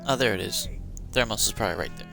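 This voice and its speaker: Creaky-voiced woman streaming a game. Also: very deep voice, fast talker, music in the background. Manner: muttering to self while playing. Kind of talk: casual live game commentary.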